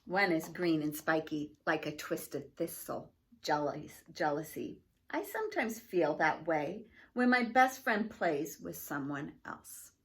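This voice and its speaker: An earnest little storybook girl, read by a woman, speaks tenderly about feelings. Her phrasing is gentle, deliberate and lyrical. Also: low voice, pitched below the performer's own voice. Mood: earnest, explaining.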